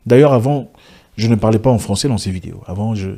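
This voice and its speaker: A man's voice: on the low side, fast talker, gravelly, dark tone. A man whose first language is an African language, giving an impassioned monologue to camera with rhetorical questions and frequent restarts. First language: not French; an African language. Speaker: online video commentator.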